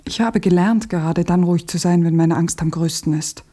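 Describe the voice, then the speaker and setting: Woman playing grave female revolutionary, radio play, whispery, mellow, lowish, composed, consoling. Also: natural voice. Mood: calm, grave. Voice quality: breathy, whispery. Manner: hushed, measured, earnest.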